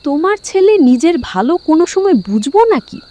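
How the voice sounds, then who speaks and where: A woman's voice: very high-pitched, very animated, moderate pace, mellow. A woman playing a greedy, nagging, scheming wife in a cartoon, complaining and conspiratorial. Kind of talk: cartoon dialogue.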